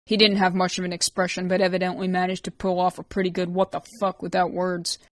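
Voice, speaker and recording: A woman's voice: fairly low pitch, completely flat delivery, fast talker, no echo, clean studio sound, lightly acted. A woman voicing an earnest young woman who pleads and pushes back, defiant but hurt. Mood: gentle coaxing.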